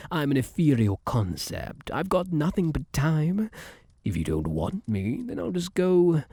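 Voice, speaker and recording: Man playing a husky, swooping, possessive luck spirit. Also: mid-range voice, warm tone, no room sound, heavily acted. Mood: wounded, dismissive. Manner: theatrical, coaxing, old-fashioned flowery slang and endearments. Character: possessive, smug male personification of luck, suavely menacing suitor. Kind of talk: ASMR roleplay, theatrical monologue to the listener.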